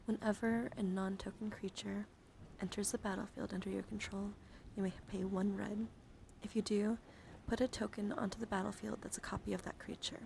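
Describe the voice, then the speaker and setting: Female ASMR streamer, medium pitch, playful and chatty, shifting between whisper and soft talk, clearly noisy recording. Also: level tone, a little fast. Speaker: livestream host. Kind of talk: ASMR card showcase with casual chat to viewers.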